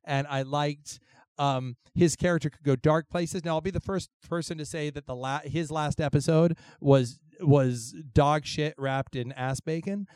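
A young man whose voice is worn hoarse, bantering and rambling in front of a live audience. His pitch sits a little high, with a resonant tone under the hoarseness. The sound is close and dry. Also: a little slow, a little mellow, dry recording. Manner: rambling and playful, many fillers and restarts. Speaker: co-host of a live panel show.

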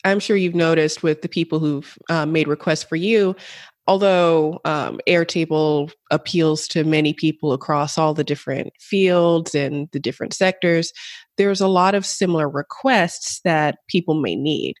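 A podcast host leads the conversation, framing questions politely and encouragingly with the occasional "um". The voice is at a middle pitch and sounds dry and close. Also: moderate pace, dry recording. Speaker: interviewer host. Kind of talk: casual interview questions.

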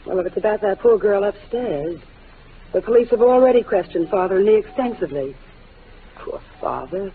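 A woman's voice: mid-range voice, expressive, normal speed, a little hiss or hum, close to an everyday voice. An actress in a 1940s radio drama playing a composed, defensive young woman suspected of a crime. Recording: tinny old radio broadcast.

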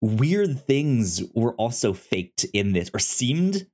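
A man co-hosting a casual film discussion, speaking haltingly and often stammering and repeating words. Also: medium pitch, sing-song, darkish tone. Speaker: podcast co-host reviewing films.

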